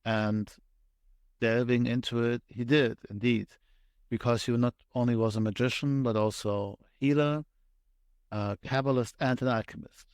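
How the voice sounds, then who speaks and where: A man with a German accent, a podcast guest explaining things step by step with frequent thoughtful pauses. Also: medium pitch, fairly even intonation, a little mellow, clean tone, no echo. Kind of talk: conversational interview explanation.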